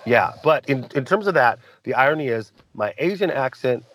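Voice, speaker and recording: Earnest American man, middle-pitched voice. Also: expressive, normal speed, a bit bright, a little hiss or hum. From United States. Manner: earnest, reflective, trails off mid-sentence. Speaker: actor guest. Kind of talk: casual interview talk.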